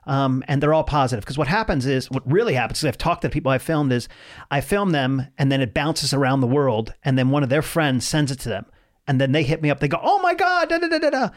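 A man in his forties, a stand-up comedian talking casually as an interview guest, telling stories in an animated way and acting out dialogue. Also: mid-range voice, fast. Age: 40s. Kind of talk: casual conversational storytelling.

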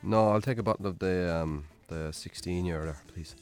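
An actor with an Irish accent playing a troubled, defensive, hard-drinking farmer in a radio drama, gruff, curt and sweary. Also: male voice, sits low, moderate pace, soft background music, natural voice. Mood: curt, impatient. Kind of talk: naturalistic radio drama acting.

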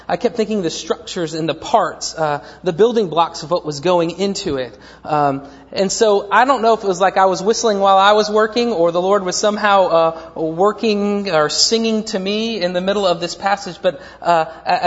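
Rambling pastor in a reverberant church. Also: male voice, on the high side. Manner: rambling, self-deprecating, many fillers and restarts. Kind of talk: sermon. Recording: dull lo-fi church recording with long reverb.

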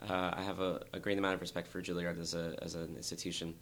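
A young man, a dancer, talking earnestly and thoughtfully in an interview, with a very low voice and frequent restarts. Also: little pitch movement, fast, fairly bright tone. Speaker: dancer guest. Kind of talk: reflective interview talk.

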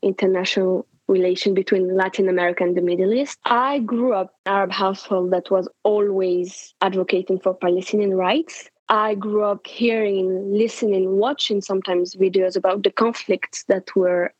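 A young woman talks earnestly about her background in an interview, scattering fillers through her sentences. Her voice is mid-pitched and dry. The recording sounds muffled and lo-fi. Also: steady tone, normal speed, hardly any pauses, no room sound. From France. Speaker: graduate student and activist.

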